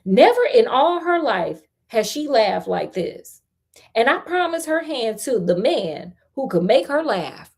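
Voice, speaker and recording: An American woman acts out an imperious storybook king with a quick temper. The voice is high and lively, making emphatic declarations. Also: breathy edge, heavily acted. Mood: amused, proclaiming.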